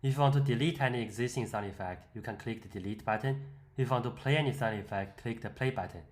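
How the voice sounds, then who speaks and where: A man giving an explanatory, step-by-step voice-over for a software demonstration. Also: mid-range voice, quick pace. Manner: step-by-step, instructional. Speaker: software tutorial presenter. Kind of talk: explanatory screen-demo voice-over.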